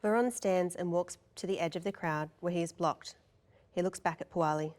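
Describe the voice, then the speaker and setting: A woman's voice: lowish voice, normal speed, a little mellow. A woman narrator reading stage directions and scene descriptions at a table read.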